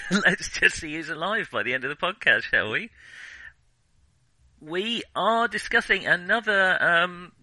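A man co-hosts a relaxed film discussion in a very bright, crisp-edged voice. His pitch moves in a lively way, while his delivery is wry and halting. The sound is dry, with little room around it. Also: no echo, low-quality recording. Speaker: podcast host.

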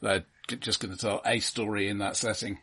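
A man co-hosts a casual film discussion. His voice sits a little low and has a husky, rough grain. He talks in a measured, matter-of-fact way. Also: fairly even intonation, a bit bright. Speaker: film podcast co-host.

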